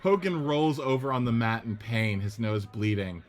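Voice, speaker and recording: A man narrating the stage directions of a radio play script in a steady, descriptive way. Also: medium pitch, normal speed, very noisy.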